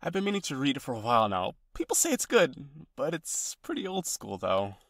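Man acting a lively, sentimental young friend, creaky voice. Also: mid-range voice, fairly quick, no echo, clean studio sound, full character voice. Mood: surprised, excited. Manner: casual, expressive, hesitant. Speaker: voice actor in audio roleplay. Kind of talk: ASMR-style roleplay, one side of a conversation.

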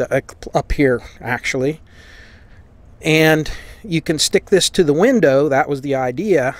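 An American man giving a casual, conversational hands-on explanation as host of a repair channel, recorded indoors at a workbench. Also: medium pitch.

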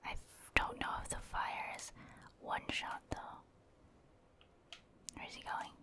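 A woman whispering soft, unvoiced ASMR commentary as she plays a video game, casually and hesitantly thinking aloud. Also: measured pace. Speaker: ASMR gamer, video host.

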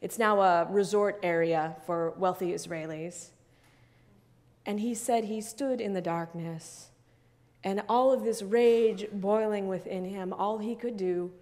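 A middle-aged woman pastor delivers an earnest, measured sermon in a mid-pitched voice. She leans on repeated phrases to build her point. Her voice rings out with a long reverb in a large sanctuary, picked up from a distance. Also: darkish tone.

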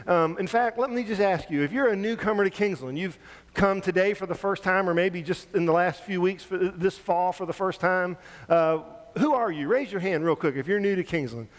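A man with a fairly high speaking voice greets his congregation from the front of a large church sanctuary. He talks into a handheld mic with an easy, informal manner, and the sound trails off in the long reverb of the hall. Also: moderate pace. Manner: warm, informal, with fillers. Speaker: pastor.

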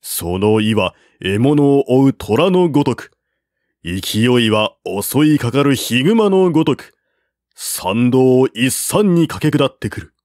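Man narrating an old war story, real slow, dry close mic. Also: mid-range voice, lively intonation, darkish tone, no echo. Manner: slow, measured reading. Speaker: audiobook narrator. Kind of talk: scripted narration of a classical war novel.

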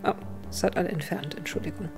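A woman's voice: fast talker, soft background music. A woman in her thirties narrating a tabletop game as game master.

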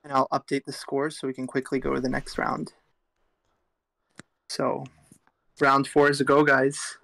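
A man co-hosting a live fighting-game stream, calmly explaining techniques and running the tournament. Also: medium pitch, measured pace, vocal fry, poor audio. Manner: even-toned, technical analysis. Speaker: stream co-host and tournament organizer.

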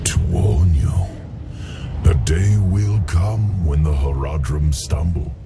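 An actor playing a mysterious, silkily sinister ancient demon in a game cutscene, speaking in a slow, smug, insinuating way. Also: male voice, very deep voice, lively intonation, over loud music, exaggerated voice. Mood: ominous warning. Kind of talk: dramatic video game cutscene acting.

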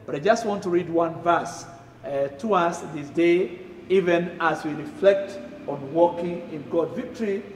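A middle-aged man with a Kenyan accent preaches in a church sanctuary, pushing up loud on the key phrases. His voice sits high for a man and is lively. A long, roomy reverb hangs behind every word. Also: unhurried, a little hiss or hum. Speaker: pastor. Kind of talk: sermon. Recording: echoey room reverb.

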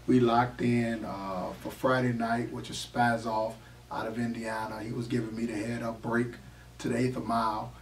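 A hoarse man in his forties from the Chicago area, a drag racer answering interview questions with many fillers. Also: mid-range voice. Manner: halting, many fillers, flat delivery. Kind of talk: casual interview. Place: small studio office. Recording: echoey room, mic far off, faint noise.

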